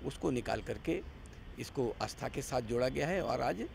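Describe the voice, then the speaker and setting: A man's voice: medium pitch, expressive, moderate pace, creaky, a little mellow, a little hiss or hum. A man giving political commentary as an interview guest, arguing emphatically with repeated phrases and rhetorical questions.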